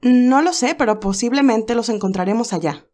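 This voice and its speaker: A woman voicing a young nurse heroine, nervous and stammering, a little higher than her narration. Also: normal speed, a little mellow, natural voice.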